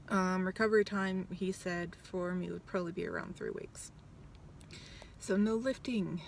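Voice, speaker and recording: Woman in her 30s vlogging, raspy gravel in her voice, mid pitch, lots of ums, swears a bunch, faint hiss behind. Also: very animated, a little slow, long pauses. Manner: blunt, swears freely, many ums. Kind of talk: casual rambling vlog chat. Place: outside a doctor's office.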